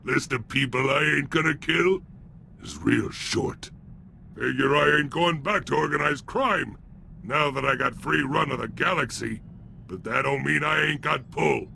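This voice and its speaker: An actor playing a brutish, bloodthirsty alien gangster in a video game, with a rough voice and crude, sneering street-thug talk. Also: male voice, medium pitch, unhurried, bright, forceful tone, slight background noise, full character voice. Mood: smug, menacing. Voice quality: rough, gravelly. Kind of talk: video game character acting.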